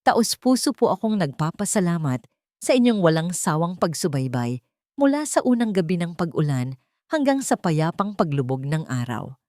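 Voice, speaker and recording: A woman's voice: fairly low pitch, very animated, moderate pace, darkish tone, no echo, studio quality. A woman reading a scripted, dramatic story as narrator.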